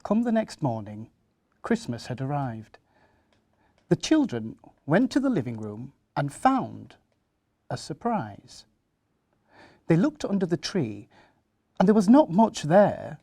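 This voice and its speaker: A man reading a rhyming children's story aloud in an expressive storytelling style. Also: fairly high pitch, takes it slowly, long pauses, no echo.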